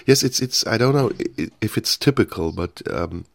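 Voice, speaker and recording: A German man with a German accent hosting an interview, asking questions in halting, jovial English. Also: fairly low pitch, animated, vocal fry. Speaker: radio host. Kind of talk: bilingual interview.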